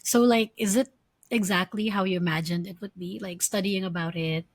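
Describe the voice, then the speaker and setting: A woman's voice: medium pitch, fairly even intonation, moderate pace, no echo. A woman in her early thirties from the Philippines, co-hosting a casual chat, giggling often and asking curious questions. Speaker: podcast co-host. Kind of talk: casual chat between friends.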